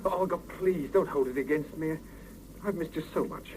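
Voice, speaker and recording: A man's voice: a little high, moderate pace, a little mellow, close to an everyday voice, poor audio. An actor playing an earnest, affectionate young Soviet husband and father in a 1970s radio drama. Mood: pleading. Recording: hissy 1970s mono radio cassette.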